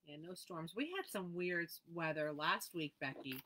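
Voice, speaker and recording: A woman's voice: fairly low pitch, moderate pace, sharp tone, slight background noise. A woman hosting a live needlework sale, reading out item numbers and prices and chatting with viewers by name. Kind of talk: live sales presentation, casual chat.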